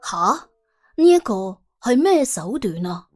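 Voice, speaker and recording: Woman narrator, Cantonese accent, high animated voice, wry colloquial storytelling, comic audiobook, faint music behind. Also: unhurried.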